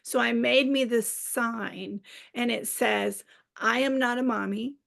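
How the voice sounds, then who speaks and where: Gravelly-voiced woman telling stories. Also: a little high, takes it slowly. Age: middle-aged. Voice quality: slightly raspy. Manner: animated storyteller, laughs a lot. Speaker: guest, children's author. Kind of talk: casual storytelling in an interview.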